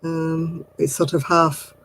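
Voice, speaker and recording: A woman's voice: low-pitched, little pitch movement, takes it slowly, fairly bright tone, slight background noise. An older woman with a mixed Oldham and Manchester accent, chatting casually to live viewers in a rambling, homely way and chuckling often. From Royton, Oldham, England. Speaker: livestream host. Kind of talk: casual chat answering live comments.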